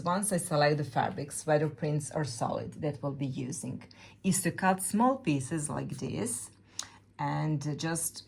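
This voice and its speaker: Low-voiced Serbian woman teaching quilting. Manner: careful, explanatory, occasional searching for words. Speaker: quilt pattern designer. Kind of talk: sew-along tutorial.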